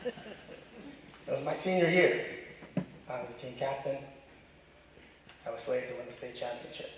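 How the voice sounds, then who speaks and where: A middle-aged man, a high school wrestling coach, giving an informal, emphatic memorial tribute full of stories in a loud voice. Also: unhurried. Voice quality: loud, booming. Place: large echoey room at a high school. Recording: very echoey hall, distant, faint noise.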